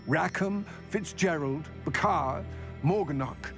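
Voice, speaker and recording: A middle-aged man playing a grave, weary goblin in a video game, speaking formally and deliberately. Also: fairly high pitch, music in the background. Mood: grave, explanatory.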